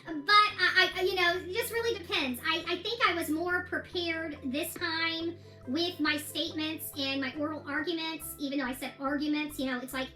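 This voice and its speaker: A woman's voice: very high voice, fairly even intonation, normal speed, sharp tone. An American woman speaking casually and giving her opinions in a video diary, often repeating herself for emphasis. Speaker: self-represented litigant recounting her court case. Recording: echoey room with music behind.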